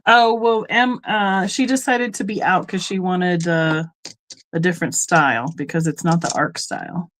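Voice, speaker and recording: A woman's voice: on the low side, moderate pace, a bit bright. Chatty middle-aged woman hosting a live sale. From Colorado, United States. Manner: chatty, rambling asides, frequent ums and laughs. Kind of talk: casual live-stream selling chat.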